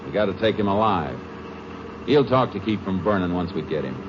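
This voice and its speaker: A terse, determined Texas Ranger. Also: male voice, deep voice, lively intonation, a little fast, background noise. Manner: terse, clipped first-person narration. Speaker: Texas Ranger lead and narrator. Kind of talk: 1950s radio drama acting. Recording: dull old radio broadcast with music.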